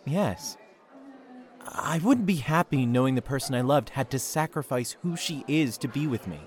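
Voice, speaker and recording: A man's voice: medium pitch, lively intonation, moderate pace, close to an everyday voice. A man playing an awkward, skeptical young boyfriend in an audio drama, speaking in a hesitant, dry, blunt way. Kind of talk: naturalistic audio drama acting. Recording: noticeable background ambience noise.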